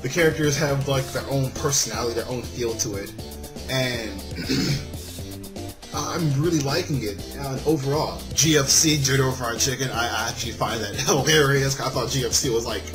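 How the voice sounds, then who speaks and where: A man's voice: medium pitch, moderate pace. A man reading a visual novel aloud and commenting casually, often stumbling over lines and correcting himself. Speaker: video game let's-play host. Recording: music playing behind the voice. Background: game background music.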